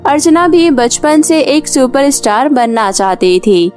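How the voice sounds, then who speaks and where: Slow high-voiced woman narrator. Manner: calm, measured storytelling. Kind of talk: storybook cartoon narration. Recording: faint music behind.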